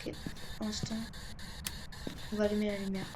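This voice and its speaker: A woman's voice: mid-range voice, monotone, normal speed. A woman giving casual video game commentary, thinking aloud to herself and often repeating her phrases. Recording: clear background noise, game ambience.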